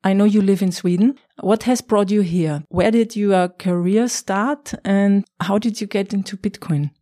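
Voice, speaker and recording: A woman hosts an interview podcast. Her voice sits at a medium pitch and is recorded very dry, with little room around it. She delivers introductions and questions in an orderly, prepared manner. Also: unhurried, mellow, no echo.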